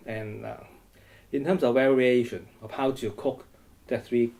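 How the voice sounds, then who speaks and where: A man's voice: mid-range voice, steady tone, a little slow, gravelly, darkish tone. A middle-aged man from Hong Kong, answering interview questions hesitantly, with many restarts and repeated words. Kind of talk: oral history interview.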